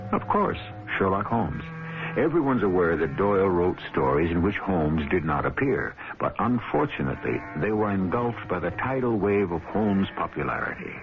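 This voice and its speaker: Male mystery radio host: low, dramatic, deliberate, narrow-band vintage sound. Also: very animated. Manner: theatrical, deliberate, addressing the listener. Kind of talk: dramatic scripted radio introduction. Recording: tinny old radio broadcast with music. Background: music.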